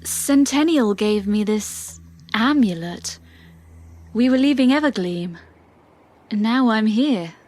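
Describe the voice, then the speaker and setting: A woman playing a healer in a fantasy audio drama, with a drawn-out, dramatic delivery. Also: a little high, animated, darkish tone. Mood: shaken, apologetic. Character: female fantasy healer, sometimes possessed.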